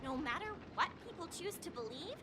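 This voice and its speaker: An actress voicing an excitable young female student who talks with eager, gushing enthusiasm. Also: extremely high pitch, brisk, heavy background noise. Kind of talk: game cutscene dialogue. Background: loud game music.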